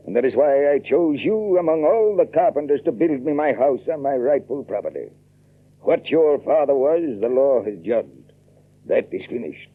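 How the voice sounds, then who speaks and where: An actor playing a stern, self-righteous Puritan colonel in a radio drama, speaking in pompous, formal commands and proclamations. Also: male voice, mid-range voice, natural voice. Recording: thin, phone-like old radio recording.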